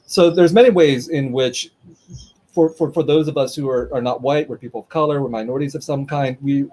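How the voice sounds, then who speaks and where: A man's voice: medium pitch, steady tone, fairly quick, dark tone, slight background noise, low-quality recording. A man moderating a livestreamed author conversation by video call, talking thoughtfully with frequent restarts and repeated words.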